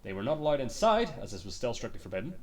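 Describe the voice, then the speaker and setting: A man's voice: mid-range voice, very animated, rapid-fire, darkish tone, far from the mic. A man streaming a game and reading its books aloud as theatrical storytelling, dropping into muttered, sweary asides.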